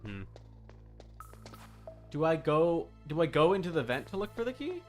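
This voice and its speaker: A tired man casually commentating a live game stream, rambling, interrupting himself and thinking out loud. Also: fairly high pitch, very animated, normal speed, faint music behind. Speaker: streamer, VTuber.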